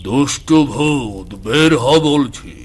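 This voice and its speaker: A pompous sham ghost-chaser in a village cartoon. He is theatrical and bombastic, a cowardly blusterer with a mid-pitched voice. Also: expressive, slow pace. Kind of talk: animated cartoon voice acting.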